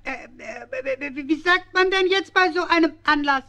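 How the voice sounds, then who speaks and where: A man's voice: extremely high pitch, moderate pace, bright, forceful tone, faint music behind, exaggerated voice. A man voicing a small, eager and officious male penguin in a children's radio play.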